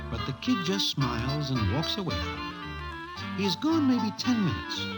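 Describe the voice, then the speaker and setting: Deadpan new wiseguy narrator, highish swingy voice, old radio show w/ music. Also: male voice, moderate pace. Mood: dry amusement. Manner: deadpan, mannered present-tense slangy diction. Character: wry streetwise male Broadway storyteller in racetrack crowd.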